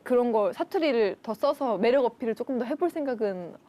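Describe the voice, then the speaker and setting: A woman's voice: on the high side, expressive, fairly quick, warm tone. A young woman hosting a variety show interview, talking playfully and teasing her guests. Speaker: host.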